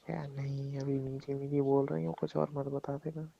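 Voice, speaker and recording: A man's voice: medium pitch, little pitch movement, normal speed, vocal fry, warm tone. A young man in a casual private phone chat, talking in a teasing way with short questions. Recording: dull lo-fi phone call recording.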